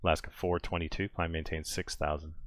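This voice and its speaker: A man with a very deep, low voice works as a departure controller. He delivers short, procedural air traffic instructions in a clipped manner. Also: level tone, fairly quick.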